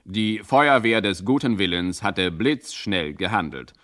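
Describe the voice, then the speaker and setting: An actor as the mock-dramatic narrator of a scripted radio crime serial. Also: male voice, lowish voice, unhurried, a bit bright.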